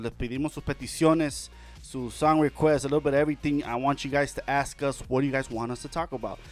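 A man hosting a casual Spanish-language radio podcast, talking with energetic, repetitive, playful hype. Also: medium pitch. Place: home studio in Las Vegas.

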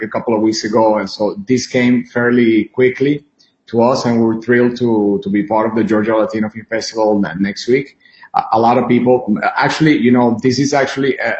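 A man from Venezuela speaking as an interview guest in a conversational, rambling, halting way over a video call. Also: medium pitch. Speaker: interview guest, film director and musician. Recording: roomy, dull video call audio.

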